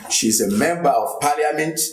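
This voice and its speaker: A man introducing conference panelists, speaking in a measured way with frequent hesitations. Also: a little high. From Nigeria. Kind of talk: formal introductions. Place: university conference hall. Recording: echoey hall.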